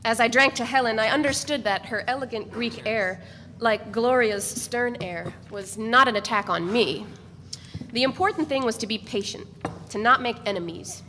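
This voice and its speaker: A woman's voice: on the high side, normal speed, sharp tone. A woman giving a dramatic reading of a novel as a first-person narrator. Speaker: reader of a novel. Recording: echoey hall, faint background noise.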